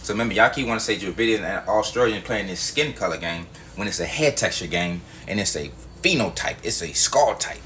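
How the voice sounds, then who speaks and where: Low-voiced man ranting emphatically. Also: animated, fairly quick, hardly any pauses, sharp tone, a little hiss or hum. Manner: emphatic, profane, repetitive. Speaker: video host. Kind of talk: heated monologue.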